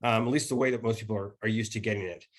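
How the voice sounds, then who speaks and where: A man's voice: on the low side, steady tone, racing. A man in his thirties, a podcast guest whose voice is starting to go, explaining casually over a video call. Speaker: podcast guest, e-commerce expert. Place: indoor room at home. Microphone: video call setup.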